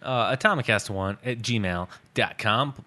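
A man's voice: lowish voice, moderate pace, bright, forceful tone. A man co-hosting a casual podcast, blunt and profane, laughing often. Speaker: podcast co-host.

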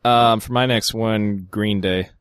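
A man in a casual music chat, making dry, deadpan jokes. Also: lowish voice, slow, smooth, dry recording.

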